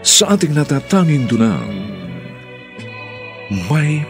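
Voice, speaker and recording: A man's voice: on the high side, sing-song, takes it slowly, music in the background. A man announcing a radio drama from a script in a formal, dramatic manner.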